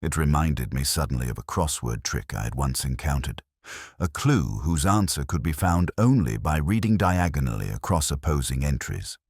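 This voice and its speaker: Very deep-voiced man narrating a detective story. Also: sing-song, a little mellow, slightly rough, no room sound, studio quality. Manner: measured, literary, solemn storytelling. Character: brooding, world-weary male police detective recounting a case. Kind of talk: scripted first-person detective story narration.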